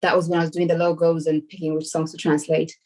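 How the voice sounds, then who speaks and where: A woman from Somalia answering interview questions over a video call in an earnest, reflective way, with soft "um" fillers. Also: sits low, little pitch movement, fast talker. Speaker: guest.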